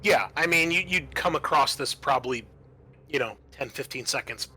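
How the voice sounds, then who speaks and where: A man acting as game master, describing scenes in a conversational, improvised, halting way for a tabletop roleplaying game. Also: medium pitch, pushed and bright, breathy edge.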